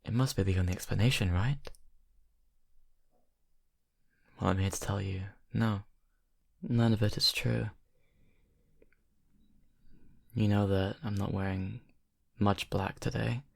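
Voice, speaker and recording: Low, gravelly brooding young vampire played by a man. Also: fairly even intonation. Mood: hesitant confession. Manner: hesitant, halting, confiding, intimate. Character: brooding young male vampire confessing to best friend. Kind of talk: ASMR audio roleplay.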